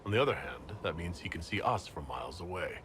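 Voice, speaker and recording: A stern, weary male commander in a video game. His voice is low and restrained, curt and guarded, with faint ambient noise. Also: very animated, quick pace. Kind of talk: video game dialogue acting.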